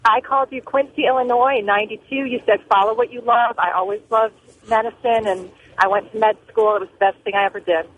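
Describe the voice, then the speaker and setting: A woman's voice: fairly high pitch, steady tone, fast talker, slight background noise. Middle aged lady phoning in to talk radio, tinny line, punchy and opinionated. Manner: emphatic, short punchy declarative sentences. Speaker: call-in listener, family physician. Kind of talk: earnest opinionated call-in talk. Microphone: telephone. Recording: narrow tinny phone line.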